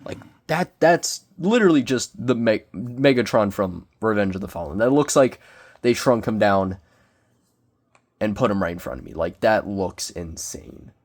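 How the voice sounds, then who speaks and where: A young man with a cold, sniffling as he reviews a collectible figure in casual, self-deprecating, excitable rambling. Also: on the low side, normal speed. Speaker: toy reviewer. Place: small bedroom.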